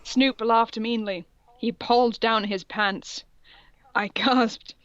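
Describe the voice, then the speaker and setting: A woman's voice: on the high side, fairly even intonation, slow, smooth, muffled recording. A woman co-host reading fan fiction aloud in a comic dramatic style, mock-serious and amused, with casual sweary asides.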